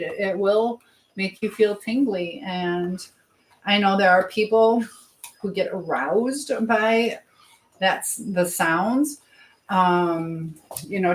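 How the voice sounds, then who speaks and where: Woman, medium pitch, casual live-stream host, rambling and conversational, noticeable room echo. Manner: chatty, rambling, thinking aloud. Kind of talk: casual live chat with viewers. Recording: echoey, roomy sound.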